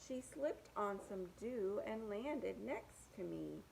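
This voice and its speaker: A woman reads picture books aloud for young children at a slow, unhurried pace. Her pitch sits in the middle for a woman, and she delivers rhymes in a sing-song way with simple asides to the listener. There is some background noise in the recording. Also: expressive, gravelly.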